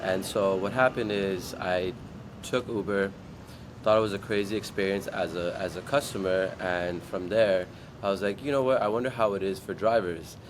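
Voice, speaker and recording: A man from Guam, a startup founder, telling his story in an interview in a relaxed way and acting out his past thoughts. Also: deep voice, normal speed, noisy background. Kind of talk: interview answers.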